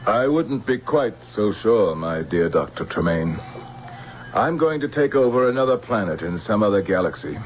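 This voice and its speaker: An actor playing a menacing, imperious general in an old-time radio drama, giving clipped commands and cold threats. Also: male voice, lowish voice, lively intonation, normal speed, close to an everyday voice. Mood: cold menace. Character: menacing, commanding male general plotting interplanetary war. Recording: muffled vintage radio broadcast, faint hiss.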